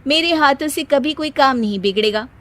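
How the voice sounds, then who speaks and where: A woman's voice: high voice, expressive, fairly quick, fairly bright tone. A woman playing a proud, bossy young daughter-in-law in a family comedy story, boastful and scolding.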